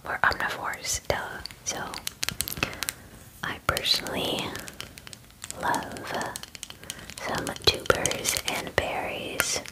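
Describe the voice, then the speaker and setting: A woman in her twenties doing a soothing, reassuring ASMR personal-attention roleplay, whispering close to the microphone in an unhurried way. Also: rough recording. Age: 20s. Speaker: ASMR roleplayer playing a werewolf groomer. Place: small indoor room, close up. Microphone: close microphone, near the camera.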